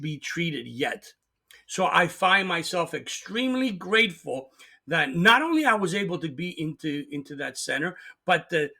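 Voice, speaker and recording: A man's voice: on the high side, creaky, bright, forceful tone. A middle-aged man giving an earnest, emphatic motivational talk as host, sometimes repeating words as he presses a point.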